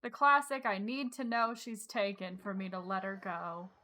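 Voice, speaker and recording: A woman's voice: medium pitch, fairly even intonation, normal speed, bright, forceful tone. A woman giving casual, sarcastic running commentary on a game as she streams it. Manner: teasing, sarcastic. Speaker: game livestreamer. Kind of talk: casual reactive gaming commentary. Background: game music.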